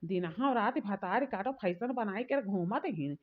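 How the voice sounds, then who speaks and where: A woman's voice: mid-range voice, animated, measured pace. A woman playing a defiant, hot-tempered village sister-in-law in a cartoon comedy, grumbling in repeated phrases with an Awadhi accent. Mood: angry. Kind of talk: rustic cartoon comedy dialogue. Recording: dull lo-fi sound.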